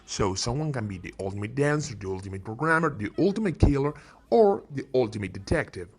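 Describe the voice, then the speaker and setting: A man's voice: mid-range voice, sing-song, fast, husky. A man hosting a scripted video with game recommendations, playful and emphatic on key words, with joking asides. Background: background music.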